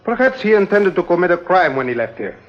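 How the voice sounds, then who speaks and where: An actor playing a polite, formal police lieutenant in a vintage drama, speaking deliberately on a dull old recording. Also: male voice, high voice, sing-song. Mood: stern, formal. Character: courteous, measured foreign police lieutenant, a man. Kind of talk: old-time radio drama acting.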